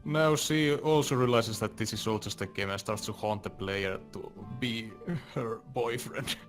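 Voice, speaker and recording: A man's voice: fairly low pitch, normal speed, faint music behind. A man giving casual co-commentary on a video game in a group chat.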